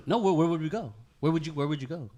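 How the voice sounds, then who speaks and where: A man's voice: on the high side, animated, a little fast, over a noisy crowd. A man co-hosting a podcast in casual group chat, slangy, profane and jokey, teasing the others. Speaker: podcast co-host.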